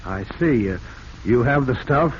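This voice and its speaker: A man's voice: mid-range voice, animated, measured pace, lightly acted. Guy acting nervy scheming 40s office man, polite n hesitant, muffled old radio sound. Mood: tense, furtive. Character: scheming, nervous male publishing-house reader and failed author. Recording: muffled, noisy vintage radio recording.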